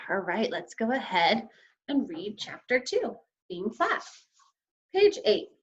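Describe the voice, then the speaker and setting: A woman teacher reading a children's story aloud and explaining it to young pupils in an expressive way. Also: medium pitch, slow pace. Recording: slightly dull, lo-fi sound.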